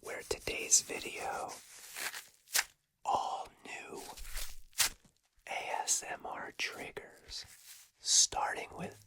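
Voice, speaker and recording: A host speaks entirely in a soft unvoiced whisper, close to the microphone, in a slow and unhurried way. The voice has a slight scratchy hoarseness to it. She drops in small casual asides as she goes. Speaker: ASMR channel host. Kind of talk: whispered ASMR trigger video. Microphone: close microphone for ASMR.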